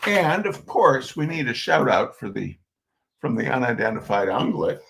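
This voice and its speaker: Guy reading funny essays, super animated, bit gravelly, dramatic and emphatic. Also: mid-range voice, moderate pace, a little hiss or hum. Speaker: host reading humorous essays. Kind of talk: theatrical humorous reading, chatty asides.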